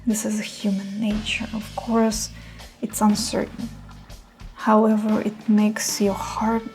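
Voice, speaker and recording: A woman leading a guided meditation in a soothing, unhurried manner with gentle pauses. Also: medium pitch, flat delivery, soft background music.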